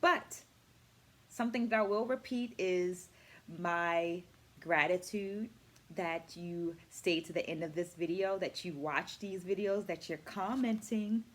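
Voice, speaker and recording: A middle-aged woman speaking as an educator in a reflective vlog, with deliberate, articulate phrasing and emphatic stresses. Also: lowish voice. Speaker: vlogger and dance educator. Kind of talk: reflective book-review vlog.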